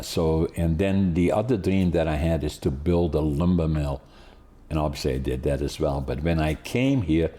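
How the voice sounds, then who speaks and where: A man's voice: sits low, dark tone. A man in his eighties from the Netherlands with a Dutch accent, hosting a podcast and telling his story in a rambling way with many restarts. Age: 80s. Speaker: podcast host. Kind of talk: casual interview chat.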